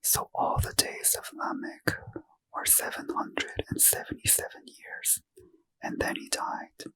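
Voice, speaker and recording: A man reading scripture aloud in a soft, unvoiced ASMR whisper as a bedtime story. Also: measured pace, low-quality recording.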